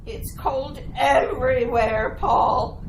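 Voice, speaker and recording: A woman's voice: high voice, fairly even intonation, takes it slowly. A woman playing a possessed, vengeful wife in an old-time radio horror play, speaking in a solemn, archaic, dramatic way. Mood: eerie, resigned. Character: possessed wife, vengeful, doom-laden 18th-century spirit. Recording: roomy, distant pickup with faint noise.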